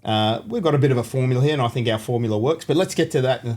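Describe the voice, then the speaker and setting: A middle-aged Australian man hosts a loose, casual podcast, his voice sitting at an ordinary pitch. He rambles through his points and keeps slipping teasing jokes at his guest. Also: very fast, darkish tone. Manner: jokey, rambling, teasing banter. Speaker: podcast host, courier company owner.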